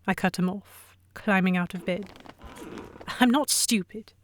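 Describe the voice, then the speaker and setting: Lady reading out a first person story, mid-range voice, expressive n dramatic, audio drama narrator. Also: medium pitch, measured pace, background noise.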